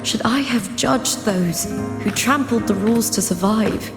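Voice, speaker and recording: A proud young warrior heroine from a game, earnest n solemn, takes her time, big music all over it. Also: a little high. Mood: ashamed, brooding. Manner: solemn, earnest, measured monologue with weighty pauses. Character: proud young female champion warrior, burdened by doubt, defiant. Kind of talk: dramatic video game voice acting. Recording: loud music behind the voice. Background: loud game soundtrack music.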